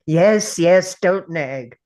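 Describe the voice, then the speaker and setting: A woman's voice: lowish voice, extremely slow, darkish tone. A woman playing a brooding, anxious time traveller who talks aloud in a dramatic script read-through. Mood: bewildered. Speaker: actor at a table read.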